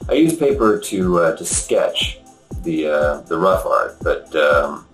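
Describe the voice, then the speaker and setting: A man explaining his work in an interview, speaking in a halting, hesitant way. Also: sits low, sing-song, a bit bright. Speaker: animator, interviewee. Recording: roomy sound with faint music.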